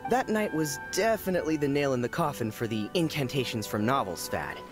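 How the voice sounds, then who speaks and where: A man's voice: medium pitch, animated, a little fast, lightly acted. A man voicing a thoughtful ordinary townsman, a keen reader, in video game dialogue. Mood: reflective. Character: ordinary townsman, thoughtful light novel fan. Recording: music behind.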